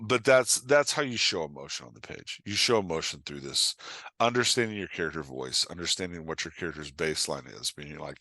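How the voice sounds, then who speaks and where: A man's voice: low voice, bright, forceful tone, no echo. A man giving a casual interview as a guest writing coach, explaining craft emphatically and checking in with the listener as he goes.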